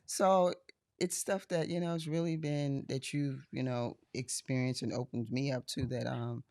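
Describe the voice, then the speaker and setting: A woman with a deep voice, the host's mother, talking hesitantly at home as a guest with many pauses and fillers. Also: steady tone, a little mellow, dry recording, studio quality. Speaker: mother of the host, podcast guest. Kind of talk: casual family conversation. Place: family home.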